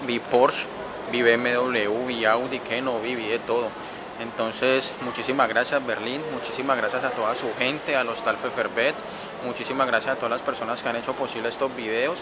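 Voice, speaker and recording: A man's voice: mid-range voice, flat delivery, moderate pace. A young man with a Colombian accent, vlogging his travels to camera in a chatty, enthusiastic way. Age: young adult. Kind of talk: casual travel vlog to camera. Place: outdoors at a stadium and a bus station. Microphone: phone or camera held at arm's length. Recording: outdoor city noise, traffic. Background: loud urban ambience and vehicles.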